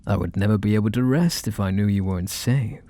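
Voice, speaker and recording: A man's voice: on the low side, dark tone, dry recording, natural voice. A man playing a possessive, teasing mafia boss lover in an intimate ASMR roleplay, speaking in a mock-sweet, condescending way full of menacing affection. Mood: casually menacing. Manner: unhurried, mock-sweet, condescending pet names. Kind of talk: ASMR roleplay, intimate one-sided dialogue.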